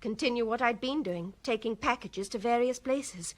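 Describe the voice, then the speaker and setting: A woman's voice: fairly high pitch, normal speed, close to an everyday voice. An actress playing a frightened young English woman giving a hesitant confession in a radio drama. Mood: subdued confession.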